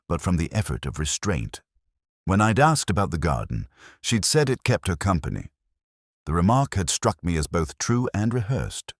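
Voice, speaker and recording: A man plays a weary, cynical police inspector telling his own story in a detective audio drama. The voice is extremely low and swings widely in pitch as he broods over each phrase with measured care. The sound is dry and close, with hardly any room to it. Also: dry recording. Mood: quietly troubled. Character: weary, cynical male English police inspector, reflective and literary. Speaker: narrator. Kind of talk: scripted first-person detective drama narration.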